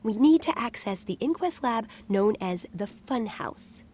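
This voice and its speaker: Casting: small, confident gnome genius in a game, higher voice, blunt and sardonic, technical delivery. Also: female voice, lively intonation, unhurried, darkish tone, slight background noise. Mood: earnest, blunt. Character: sharp-witted female gnome-like inventor, confident and sardonic. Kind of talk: video game cutscene acting.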